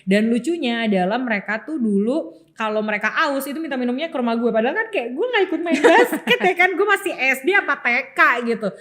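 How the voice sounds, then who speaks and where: Perempuan muda Jakarta, host podcast santai. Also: female voice, sits high, lively intonation, a little fast, fairly bright tone. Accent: Jakartan Indonesian. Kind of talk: casual chat.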